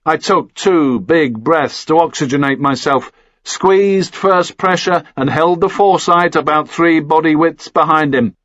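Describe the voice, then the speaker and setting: A man's voice: on the high side, measured pace, fairly bright tone, dry recording, dull audio. A man reading a first-person action thriller as scripted audiobook narration.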